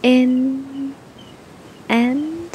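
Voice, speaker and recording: A woman guiding a meditation in a gentle, soothing manner, speaking to the listener with long, unhurried pauses. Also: fairly even intonation. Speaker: meditation guide. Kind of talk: guided meditation, spoken softly to the listener. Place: outdoors on a beach. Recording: outdoor recording with low rumbling noise.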